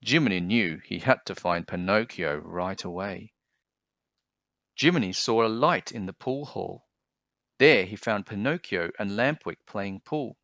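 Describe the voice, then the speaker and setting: A man reading a children's storybook aloud as an expressive narrator. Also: fairly low pitch, unhurried, dry recording, muffled recording.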